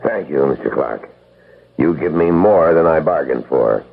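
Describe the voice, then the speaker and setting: An actor playing an eager, deferential company boss with a hesitant, obliging manner in a radio drama. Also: male voice, very deep voice, animated, unhurried, vocal fry, breathy edge, heavily acted. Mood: grateful. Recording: tinny narrow-band old radio.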